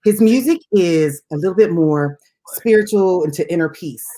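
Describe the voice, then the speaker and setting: Playful woman with lively, swooping pitch. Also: normal speed, low-quality recording. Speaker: radio show host. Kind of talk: casual unscripted music chat.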